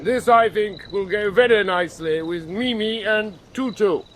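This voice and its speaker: A man's voice: sits high, unhurried, a crowd in the background. An actor playing a pompous English naval commander, formally addressing his men in a radio drama. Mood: proud, pompous.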